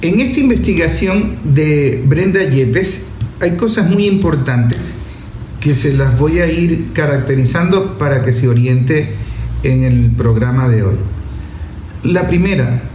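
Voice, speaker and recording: Brief: male Venezuelan radio host, slightly high-pitched, didactic commentary, audible noise behind. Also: mellow. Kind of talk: explanatory radio commentary addressed to listeners. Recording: roomy echo, background noise.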